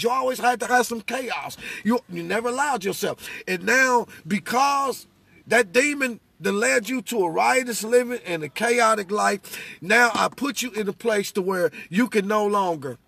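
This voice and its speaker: A middle-aged American man with a high, gravelly voice and a husky edge. He preaches conversationally, testifying emphatically and repeating his points for weight. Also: bright, forceful tone. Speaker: religious speaker. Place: inside a parked vehicle.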